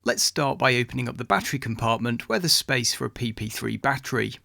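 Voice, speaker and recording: A man's voice: medium pitch, expressive, fairly quick, vocal fry, pushed and bright, no room sound, studio quality. A man reading scripted voice-over narration for a restoration video.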